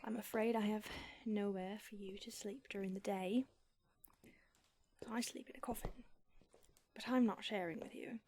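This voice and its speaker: A woman's voice: medium pitch, steady tone, normal speed, pauses often, darkish tone, slight background noise, close to an everyday voice. A woman voicing an aloof, prickly female vampire who is centuries old, speaking in a dry, sardonic whisper for an audio roleplay. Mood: dry teasing.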